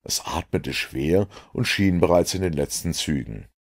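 A man with a very low, gravelly voice narrates an audiobook. His reading rises and falls with lively expression, and a husky grain runs through each line. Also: measured pace.

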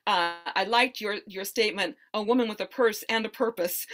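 A woman's voice: mid-range voice, normal speed, pushed and bright. A woman serving as general evaluator, giving enthusiastic feedback on a club meeting and praising each speaker.

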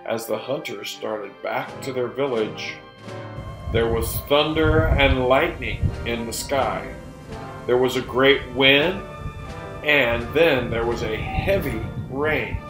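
A middle-aged man narrating a folktale in a deliberate, emphatic, theatrical storyteller's delivery. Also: medium pitch. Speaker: storyteller and presenter. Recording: roomy echo with music behind.

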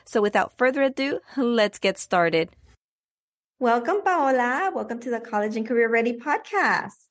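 A woman's voice: fairly high pitch, sing-song, moderate pace, dry recording. A woman speaking as the host of an interview podcast. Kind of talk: scripted introduction and interview.

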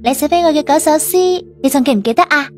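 A man's voice: very high-pitched, fast talker, soft background music, pitched above the performer's own voice. A man voicing a jealous young woman in an audiobook, pleading and demanding in a girlish, coquettish way. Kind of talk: audiobook character voice.

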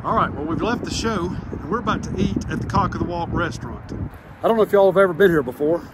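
A man's voice: high voice, fast, heavy background noise. A man, chatting casually to his viewers in an easygoing vlog, laughing often. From southern United States. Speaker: vlogger.

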